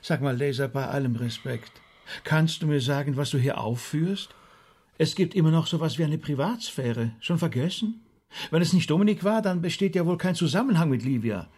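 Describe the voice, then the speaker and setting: An actor playing a blunt, sceptical rabbi in a radio drama, with a husky voice. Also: male voice, on the high side, expressive, moderate pace, darkish tone. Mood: exasperated, reproachful.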